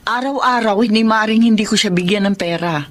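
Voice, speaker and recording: A woman's voice: medium pitch, brisk, lightly acted. An actress with a Filipino accent playing a troubled, kindly middle-aged store owner and mother in a radio drama. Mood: disappointed, confiding. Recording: faint music behind.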